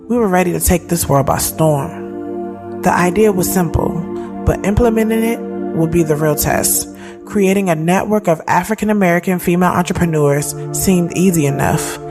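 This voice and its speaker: A woman acting as a reflective thirty-year-old woman narrates her own story in an audio drama. Her voice sits a little low, and she talks in a confiding, slangy, conversational way. Music plays clearly behind her. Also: natural voice. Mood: earnest, determined. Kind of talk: first-person scripted audio drama narration. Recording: loud music bed behind the voice.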